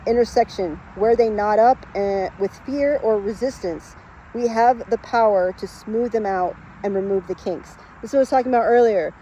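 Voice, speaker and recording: A woman's voice: mid-range voice, dark tone, highs cut off, a little hiss or hum. A woman in her late thirties explaining spiritual ideas in a casual, rambling monologue, emphatic and full of fillers. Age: late 30s. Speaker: spiritual vlogger.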